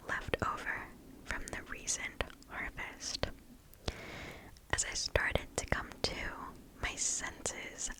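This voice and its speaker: A woman whispers right up against the microphone in a soft, breathy voice. She reads a story aloud in ASMR style at a very slow, deliberate pace, leaving pauses between phrases. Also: poor audio. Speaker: ASMR host reading stories aloud. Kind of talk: ASMR reading of first-person scary stories. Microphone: very close microphone.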